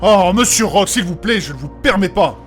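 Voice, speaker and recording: An actor playing a timid, over-polite mage assistant, formal and apologetic, in a comic fantasy audio drama. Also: male voice, high voice, lively intonation, normal speed, soft background music. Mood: flustered, apologetic.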